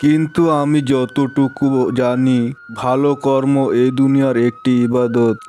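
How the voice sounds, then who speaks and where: A man playing a kind, generous village gentleman who moralizes reassuringly in a cartoon story. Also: mid-range voice, fairly even intonation, a little slow, faint music behind, lightly acted. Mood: earnest advice.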